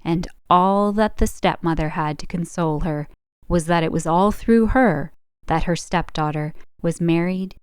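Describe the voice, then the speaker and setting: A woman's voice: fairly low pitch, a little slow, clear, resonant tone, no room sound, clean studio sound. A woman reading a scripted folk tale as a storyteller, in storybook narration style. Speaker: storyteller, channel host.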